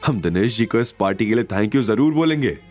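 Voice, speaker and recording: A man's voice: on the low side, lively intonation, a little fast, darkish tone, faint music behind. A man playing an eager, status-conscious middle-aged mother with a chatty, gushing manner in an audio drama. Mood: excited, proud.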